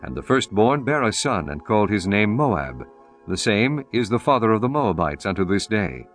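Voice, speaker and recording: A man's voice: lowish voice, sing-song, normal speed, faint music behind. A man narrating a scripture audiobook in a solemn, formal manner, reading archaic text as a scripted performance.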